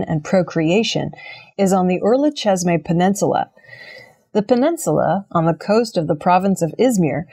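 A woman's voice: fairly low pitch, a little mellow, breathy edge. A woman reading scripted archaeology news as a narrator. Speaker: news reader.

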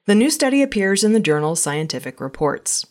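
A woman reading scripted news items in a measured, formal manner. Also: mid-range voice, very animated, over music. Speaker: news reader.

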